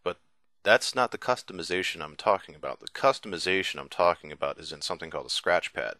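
A man giving a casual, enthusiastic software demo in an informal way, as a reviewer narrating a screencast. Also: low voice, lively intonation, fast, no echo, clean studio sound. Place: indoors, voice-over on a screen recording. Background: music playing behind the voice.

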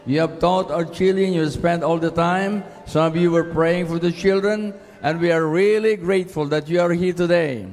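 A man's voice: sits high, a little mellow. A man with a Cebuano Filipino accent addressing a church service as pastor, giving short, repeated directions to the congregation. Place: large church auditorium. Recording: reverberant church hall sound with music behind.

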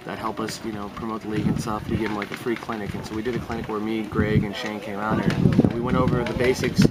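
A man's voice: fairly low pitch, little pitch movement, fast. A man in his thirties, a paintball coach, giving a casual, informal interview outdoors. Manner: informal, peppered with "you know". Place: outdoors by a fence and parking lot. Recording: noisy outdoor recording with traffic.

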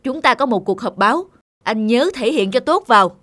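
A man's voice: very high voice, lively intonation, normal speed, sharp tone, no room sound, full character voice. A man voicing a pushy, stern middle-aged talent manager in a cartoon, bossy and insistent. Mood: angry, scolding. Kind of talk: cartoon voice acting.

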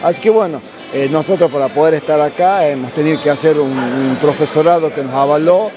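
A man's voice: on the high side, a little fast, over music. A man in his fifties with an Argentine accent, a coach giving casual interview answers. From La Rioja, northern Argentina. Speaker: padel coach. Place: padel club by the courts. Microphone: handheld interviewer microphone. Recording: noisy crowd around the club.

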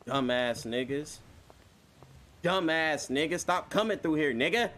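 A man's voice: on the high side, expressive, a little slow, a bit bright, a little hiss or hum. A man in casual, slang-heavy game roleplay chat, swearing freely in brash street talk. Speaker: game streamer playing a gang member in roleplay.

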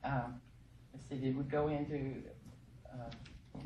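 A middle-aged woman at a podium, talking loud and emphatic in a homespun way. The sound carries across an echoey room from a mic some distance away. A faint hiss sits under it. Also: rumbling low, steady tone, slow, dull audio. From Texas. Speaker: presenter at a workshop. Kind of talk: informal training talk. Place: conference room. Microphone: podium microphone, heard from across the room.

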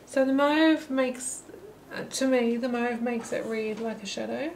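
A middle-aged woman narrating a watercolour lesson while she paints, thinking aloud in a relaxed way. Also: a little high, unhurried, slight background noise, poor audio. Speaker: watercolour teacher. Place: holiday apartment, at a table indoors. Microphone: camera mic above the table.

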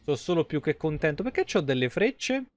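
Higher-pitched young man streaming a game. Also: a little fast, dry recording. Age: young adult. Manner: foul-mouthed, animated reactions to game and chat. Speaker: video game live streamer. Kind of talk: casual gameplay commentary. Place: small indoor room at home.

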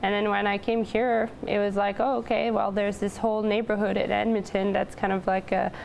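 A woman in her twenties from Montreal answers casually as a TV interview guest. Her voice is mid-pitched and noticeably creaky. She is on a lapel mic in a studio with faint noise behind her. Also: flat delivery, brisk, darkish tone, poor audio. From Montreal, Canada. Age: 20s. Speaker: interview guest, newspaper editor-in-chief. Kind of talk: casual chat. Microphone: lapel microphone.

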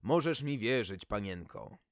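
A man's voice: fairly low pitch, sing-song, unhurried, no echo, clean studio sound. A man voicing a proud, pompous, dim-witted knight in a courtly manner for a storybook. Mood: assuring.

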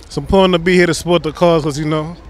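Relaxed young man from Jamaica. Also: on the high side, very fast. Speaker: walk participant. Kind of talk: street interview. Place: outdoors by a fence. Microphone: handheld reporter mic.

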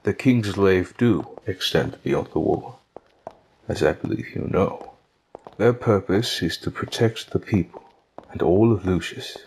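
A man playing a patient, gentle older king in an audio drama, with deliberate, formal diction. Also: deep voice, a little hiss or hum, low-quality recording. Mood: patient, explaining.

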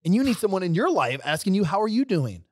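A man's voice: high voice, sing-song, fast talker, dry recording. Podcast co-host guy, 40s, punchy pep talk, dry booth-like sound. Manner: emphatic, coaching pep talk. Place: gym set up as podcast studio. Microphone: studio mic on boom arm, headphones.

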